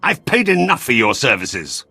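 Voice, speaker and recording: A resentful, weary ambassador in a game drama. His husky voice is formal and aggrieved, swinging widely in pitch, over faint music. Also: huge pitch swings, moderate pace, sharp tone, dry recording, heavily acted. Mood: indignant, resigned. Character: bitter, disgraced senior male ambassador, weary and indignant. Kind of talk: dramatic video game voice acting.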